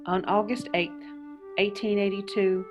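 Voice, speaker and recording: A woman playing a grave chronicler in a radio drama, deliberate and grim, with flute music behind her. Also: medium pitch, flat delivery, lightly acted. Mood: grave, dramatic. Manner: deliberate, grim, literary delivery. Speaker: narrator.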